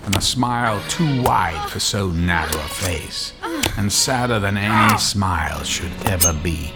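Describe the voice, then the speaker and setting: Deep, dramatic male narrator. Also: animated, over loud music. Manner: dramatic, literary, deliberate phrasing. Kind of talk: theatrical scripted horror narration.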